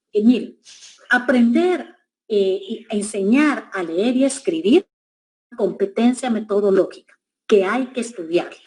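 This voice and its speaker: A middle-aged woman with a Guatemalan Spanish accent gives an educational presentation in a measured, reflective way, with frequent hesitations. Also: fairly high pitch, poor audio. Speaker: education specialist speaker.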